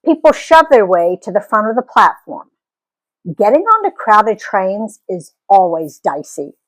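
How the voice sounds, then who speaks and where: A woman reading a story aloud as an expressive, animated narrator. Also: mid-range voice, a little slow, low-quality recording. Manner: animated storytelling with acted dialogue.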